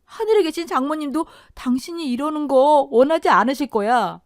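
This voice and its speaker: A gentle husband pleading quietly with his wife to stop, voiced by a woman narrator. The voice stays fairly high and animated, recorded close and dry. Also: moderate pace, dry recording. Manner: calm, pleading. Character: gentle steadying husband, man.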